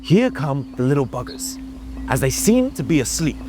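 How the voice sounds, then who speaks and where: A self-important wildlife presenter, acted by a man, speaks. His pitch is middling but moves around a great deal. Music plays behind him. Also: very animated, normal speed, lightly acted. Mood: hushed, grandiose. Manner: pompous, theatrical. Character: pompous, self-important male nature documentary host, affected accent. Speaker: nature documentary presenter. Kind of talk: mock nature documentary narration.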